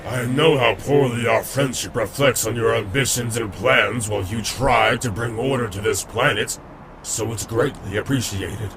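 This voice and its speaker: A man's voice: medium pitch, moderate pace, crowd noise, lightly acted, rough recording. An actor playing a smug, domineering robot lawman in a comic dub, with a condescending, sardonic manner. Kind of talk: dramatic comic dub acting.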